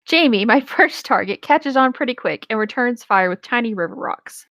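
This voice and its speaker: A woman's voice: on the high side, animated, moderate pace, fairly bright tone, no room sound. A woman co-hosting a story reading, chatting and reading aloud casually. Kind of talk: casual chat and read-aloud.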